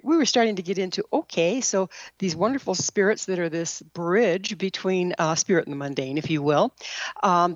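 A woman hosting a radio interview in a conversational style, with a gravelly, creaky voice. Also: fairly low pitch, no echo. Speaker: radio show host. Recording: slightly dull lo-fi audio.

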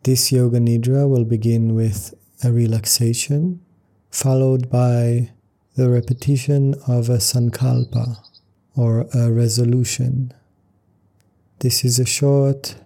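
A man guiding a meditation as a yoga nidra teacher, speaking calmly and gently in measured phrases with pauses. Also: mid-range voice. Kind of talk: guided meditation instruction.

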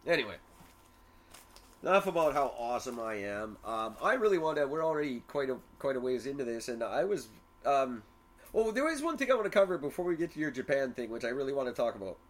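Man, young adult, casual podcast chat, brash, swears freely, mid-pitched. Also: sing-song, quick pace. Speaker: podcast co-host.

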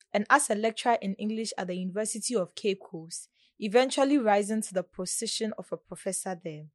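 A woman's voice: medium pitch, no room sound, studio quality. A woman reading scripted literary narration as a presenter. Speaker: presenter and reader.

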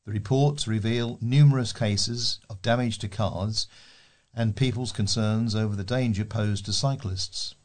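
A man with a British accent and a fairly low voice reads local news stories. He delivers written articles aloud in the role of a volunteer newsreader. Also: measured pace, a little mellow.

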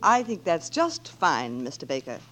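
An actress playing a sardonic, quick-witted woman writer in a 1940s American radio comedy, with dry wisecracking delivery. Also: low voice, wildly swooping pitch, fairly bright tone, faint music behind, full character voice. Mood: dry, weary. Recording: noisy old 1940s radio recording with clicks.

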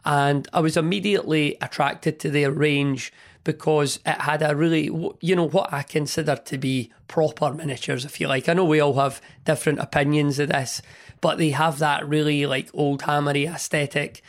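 A man hosting a hobby podcast, chatting in a rambling, enthusiastic way with a hoarse, partly lost voice from a cold. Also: fairly high pitch, steady tone, moderate pace. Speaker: podcast host. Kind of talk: casual hobby podcast monologue.

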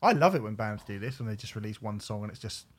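A man's voice: lowish voice, animated, fast talker, slightly rough. A British man co-hosting a music podcast, easygoing and jokey, with casual slang. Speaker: podcast co-host. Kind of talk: casual chat about music.

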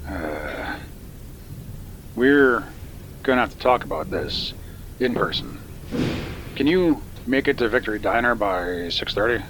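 An actor playing a gruff, wary middle-aged uncle who used to be a thug. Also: male voice, on the low side, animated, a little slow, long pauses, noisy background. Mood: guarded.

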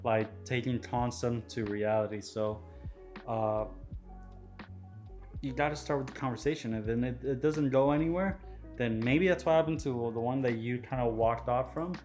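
A man hosting a casual podcast, talking in a relaxed, reflective way as he gives advice. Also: medium pitch, normal speed, soft background music. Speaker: podcast host.

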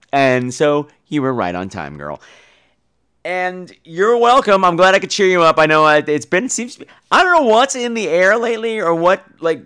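Lively, campy man hosting a podcast. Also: fairly high pitch, smooth. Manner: campy, sassy, foul-mouthed. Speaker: podcast host. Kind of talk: informal podcast talk.

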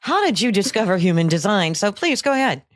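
A woman's voice: mid-range voice, sing-song, a little fast. A woman hosting a coaching podcast, giving introductions and putting questions to a guest. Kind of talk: scripted podcast introduction, then casual interview.

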